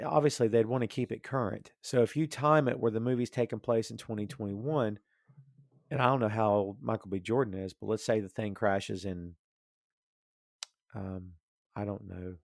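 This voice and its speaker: A man's voice: fairly low pitch, creaky, a little mellow, no room sound, clean studio sound. A man in a casual, opinionated podcast discussion, rambling and thinking aloud as he goes. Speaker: podcast host discussing comics and film.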